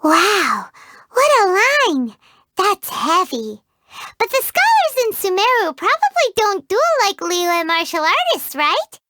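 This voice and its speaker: A squeaky little fairy sidekick. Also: female voice, wildly swooping pitch, slow pace, background noise, full character voice. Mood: chirpy, excitable. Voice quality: high squeaky childlike voice. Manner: talks about herself in the third person. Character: tiny floating fairy companion, chirpy and excitable. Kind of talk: video game character dialogue.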